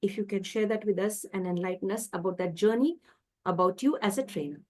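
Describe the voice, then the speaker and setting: A woman's voice: medium pitch, brisk, darkish tone. A young woman hosting a video call interview, asking polite, encouraging questions. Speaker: interview host.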